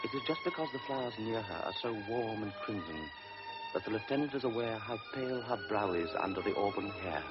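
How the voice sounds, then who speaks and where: A man narrating a literary radio drama in a deliberate, solemn manner. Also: on the low side, animated, close to an everyday voice. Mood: measured. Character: measured storyteller of a pre-war tale. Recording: dull vintage radio recording with music.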